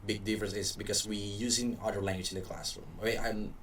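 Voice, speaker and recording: Young Indonesian man, very deep, halting English, distant mic with light hiss. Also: little pitch movement, a bit bright. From Papua, Indonesia. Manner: hesitant, searching for words. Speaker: student podcast guest. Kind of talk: casual chat. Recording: distant mic with faint noise.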